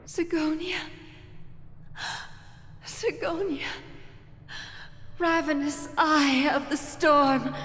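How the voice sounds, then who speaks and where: A woman's voice: extremely high pitch, heavily acted. A woman playing a grieving desert mother in a video game, praying to her goddess in a solemn, dramatic way. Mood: solemn, sorrowful. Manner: slow, solemn, prayerful. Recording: long reverb under prominent music.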